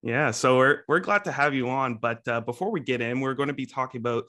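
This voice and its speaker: A man's voice: mid-range voice, a little fast, sharp tone. A man hosting an interview show, friendly and upbeat, with frequent filler uhs. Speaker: podcast host.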